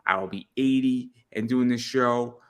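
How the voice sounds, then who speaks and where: A man's voice: medium pitch, a little slow, fairly bright tone, smooth. A man of about thirty hosting an interview in a casual chat, stammering and repeating words as he thinks aloud. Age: around 30. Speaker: interview show host.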